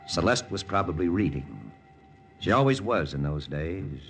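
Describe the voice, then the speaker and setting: Low-voiced, world-weary American wartime lieutenant. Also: male voice, expressive, normal speed, gravelly. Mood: grave, regretful. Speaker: actor narrating and playing the lead. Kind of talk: 1940s radio drama acting, first-person narration. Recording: old radio recording with loud music.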